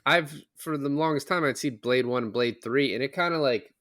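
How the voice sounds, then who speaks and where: Male podcast co-host, mid-low easy register, conversational explainer, dry recording with little room sound. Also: fairly quick, a bit bright, no room sound. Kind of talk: casual film discussion.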